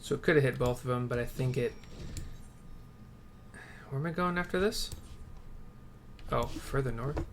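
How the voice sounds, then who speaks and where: A man's voice: mid-range voice, moderate pace, long pauses, slight background noise. A man giving casual let's play commentary, slangy and profane, thinking aloud as he plays. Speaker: gaming video host.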